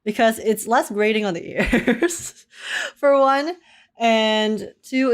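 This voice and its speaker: A woman live streamer giving casual, chatty, giggly commentary on a game. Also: mid-range voice. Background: game music.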